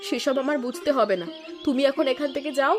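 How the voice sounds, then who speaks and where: A woman voicing a devoted, stubborn young village daughter in an animated folk tale, emotive and insistent. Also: high voice, quick pace, natural voice. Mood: scolding, caring. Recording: music behind the voice.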